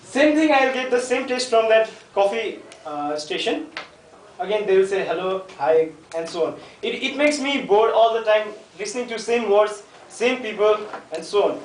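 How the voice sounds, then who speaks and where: A man's voice: high-pitched, lively intonation, normal speed, slight background noise. A young man gives a hesitant, joking class presentation and quizzes the class. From Nepal. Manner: hesitant, jokey, addresses the class. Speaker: student presenter. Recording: echoey classroom, mic far off.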